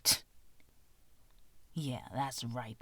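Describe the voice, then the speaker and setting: A woman's voice: a bass voice, extremely slow, a bit bright, breathy edge, no echo, heavily acted. A woman voicing a menacing, short-tempered young male thug in an audiobook, snarling and threatening. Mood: scornful.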